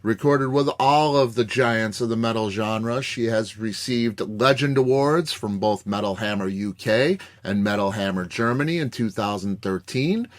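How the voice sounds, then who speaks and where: A man hosting a podcast interview, effusive and admiring, often stammering into restarts. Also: mid-range voice. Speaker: podcast host.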